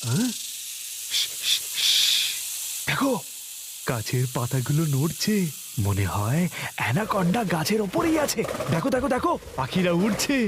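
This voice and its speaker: A man's voice: high voice, very animated, normal speed, background noise. A man voicing an eager, persuasive safari guide in a comic cartoon, theatrical, emphatic and full of suspense. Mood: hushed suspense. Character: eager, persuasive male safari guide telling tall tales. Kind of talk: exaggerated comic cartoon dub acting. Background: music.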